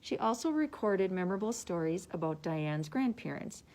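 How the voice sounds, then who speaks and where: A woman with a mid-range voice presents books as a librarian. She reads from prepared summaries in a measured, deliberate manner. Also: on the low side, animated, darkish tone. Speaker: librarian presenting book picks. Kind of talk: scripted book summaries read aloud.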